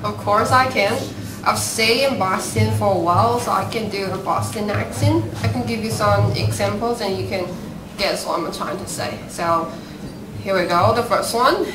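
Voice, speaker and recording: A woman co-hosting a student English-language event, presenting in a bright, playful way. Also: medium pitch, normal speed. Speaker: co-host of a student English corner. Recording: distant, echoey, noisy room.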